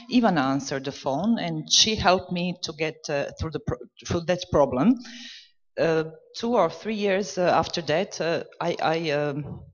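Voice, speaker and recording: Hesitant woman panelist in a hall. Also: sits low. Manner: hesitant, many fillers. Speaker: panelist from a parents' organization. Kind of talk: conference panel answer. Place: conference hall. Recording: dull, reverberant hall recording.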